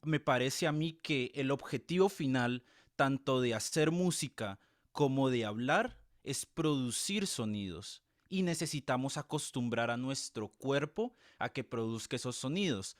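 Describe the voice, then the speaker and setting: Man, Colombian Spanish accent, tutor and music teacher, measured explanations, mid pitch, dry sound. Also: animated, no room sound. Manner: measured, explains with examples and tag questions. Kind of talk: thoughtful explanatory interview answers.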